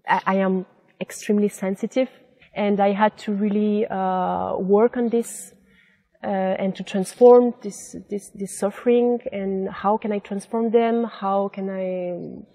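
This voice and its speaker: Slow, hesitant young woman in a garden. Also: medium pitch, warm tone. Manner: hesitant, searching for words with many fillers. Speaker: interviewee. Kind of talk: reflective interview answers. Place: outdoor garden.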